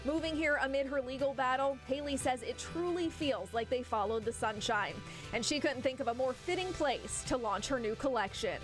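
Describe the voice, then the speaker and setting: A woman's voice: sits high, normal speed, music in the background. A woman with an American accent reading scripted narration as a television news feature reporter.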